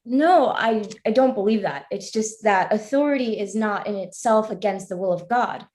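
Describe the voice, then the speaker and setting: A woman's voice: mid-range voice, normal speed, a little mellow, natural voice. A woman playing an earnest, devout young woman, speaking formally in a live staged play reading. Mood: firm, devout. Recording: roomy, echoing voice pickup.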